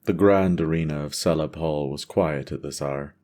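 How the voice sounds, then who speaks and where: A man's voice: rumbling low, moderate pace, warm tone. A man delivering scripted, cinematic narration for a fantasy audio drama.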